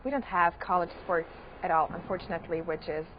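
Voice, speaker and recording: Low-toned young woman from Austria. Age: young adult. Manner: relaxed, with "um" pauses. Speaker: college tennis player. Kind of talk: casual interview answers. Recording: slightly dull, faint hiss.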